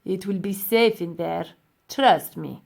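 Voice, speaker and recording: A woman voicing a cold-hearted, gloating old male professor in a children's audiobook, with pompous, emphatic, drawn-out delivery. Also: lowish voice, vocal fry, full character voice. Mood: proud, insistent. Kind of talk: children's audiobook character acting.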